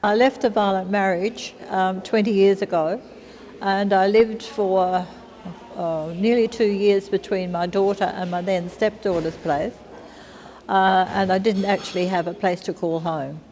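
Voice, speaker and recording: A woman's voice: medium pitch, fairly even intonation, unhurried, a little mellow, long reverb tail, rough recording. A woman in her seventies with an Australian accent, speaking frankly in a documentary interview. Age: 70s.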